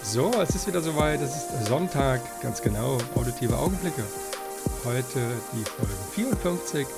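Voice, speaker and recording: A man hosting a casual podcast, talking in a halting, wordy way and circling around his point. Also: medium pitch, animated, creaky, over loud music. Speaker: podcast host. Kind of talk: rambling, casual podcast introduction and interview.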